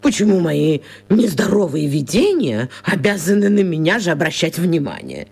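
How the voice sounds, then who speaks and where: A woman's voice: on the low side, sing-song, gravelly, darkish tone, breathy edge, heavily acted. An actress playing a flustered, kindly, eccentric old lady who muses theatrically in a children's radio play. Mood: wry, coaxing. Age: older. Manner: drawn-out, theatrical, hesitant musing.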